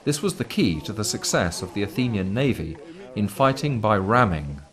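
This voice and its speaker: A man reading a scripted documentary commentary in a formal, measured way. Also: lowish voice, big pitch swings, background noise. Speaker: narrator.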